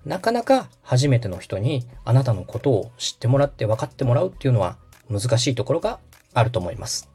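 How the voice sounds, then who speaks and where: A man's voice: medium pitch, big pitch swings, brisk, dark tone, husky. A man hosting a podcast, explaining things politely, step by step, in a how-to style. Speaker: podcast host. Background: background music.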